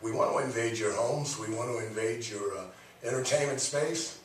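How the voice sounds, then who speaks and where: A man hosts a casual radio talk show, joking and teasing his co-host in a loose, playful way. His voice sits at an ordinary pitch for a man. It comes from a mic set back in a very echoey room, with a faint hiss underneath. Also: normal speed, recorded from a distance. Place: radio studio.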